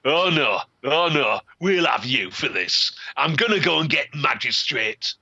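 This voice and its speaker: A man voicing a peddler's brash young son who talks bluntly in rough dialect. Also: medium pitch, very animated, a little slow, bright, forceful tone, dry recording, highs cut off, full character voice.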